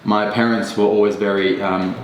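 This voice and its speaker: Very low, flat-voiced man. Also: moderate pace. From Australia. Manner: thoughtful, many ums and restarts. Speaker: podcast guest. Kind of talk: casual reflective conversation. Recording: echoey room with faint rumble.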